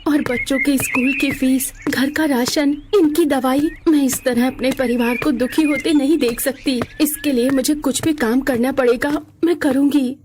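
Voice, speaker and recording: A woman's voice: high voice, steady tone, normal speed, few pauses, noisy background, close to an everyday voice. A woman voicing a poor, devoted young mother in a cartoon story, earnest and pleading. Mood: worried.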